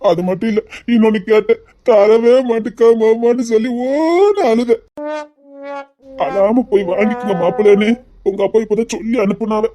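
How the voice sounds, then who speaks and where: A woman's voice: a little high, normal speed, music in the background, close to an everyday voice. A woman playing a teasing young lover with a lilt. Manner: teasing, then softly tender. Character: young man courting his sweetheart, teasing. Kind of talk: amateur romantic drama.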